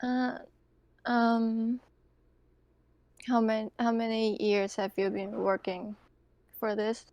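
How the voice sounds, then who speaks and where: A woman's voice: a little high, flat delivery, clean tone, muffled recording. A woman playing a tabletop roleplaying game, speaking hesitantly as she improvises dialogue and narration. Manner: hesitant, halting delivery.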